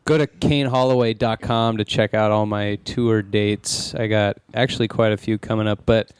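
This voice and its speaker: A man, a comedian guest, chatting casually in a halting, rambling way. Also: lowish voice, steady tone, smooth, no echo. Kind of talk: casual chat.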